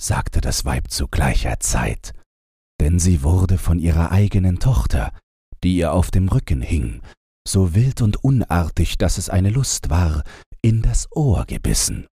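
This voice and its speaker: A man's voice: low-pitched, very animated, measured pace, mellow, husky, dry recording, studio quality. A man reading a scripted fairy tale as an audiobook narrator, in a bedtime storytelling style.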